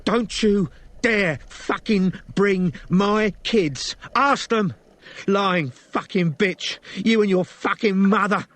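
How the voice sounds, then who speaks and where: A man voicing a furious, foul-mouthed young mother who snarls, swears and shouts during a fight. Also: high-pitched, takes it slowly, voice pushed up.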